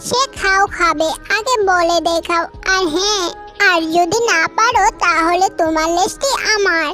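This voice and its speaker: A woman's voice: very high voice, unhurried, music in the background. A woman voicing a small, cheeky, song-loving bird girl in a children's cartoon, teasing in a sing-song way. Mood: cheeky, daring.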